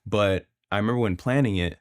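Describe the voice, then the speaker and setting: A young man from Florida, a guest videographer telling his story in a casual podcast chat, relaxed and filler-heavy. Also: on the low side, fairly quick, clean tone, no room sound, clean studio sound.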